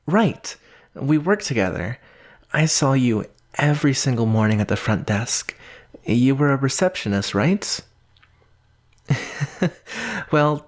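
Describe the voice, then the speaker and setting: A man playing an obsessive, lovestruck stalker in an intimate ASMR roleplay, with halting, murmured delivery. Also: medium pitch. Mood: awkwardly friendly. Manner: slow, halting, breathy murmurs, nervous laughs. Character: obsessive, lovestruck young male stalker, softly menacing captor.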